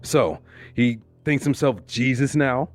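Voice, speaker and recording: An actor playing an anguished, hot-tempered police detective in a suspense audio drama, speaking forcefully and with emotion. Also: male voice, mid-range voice, slow pace, dry recording, soft background music, natural voice. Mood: scornful.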